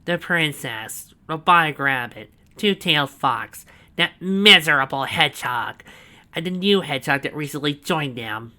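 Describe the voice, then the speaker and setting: A sneering, scheming villain, as a man puts him on for a fan-read story, his voice pushed high and tight with gloating. He stretches his words out slowly and savors every threat. The tone is bright and sharp. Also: lively intonation, heavily acted.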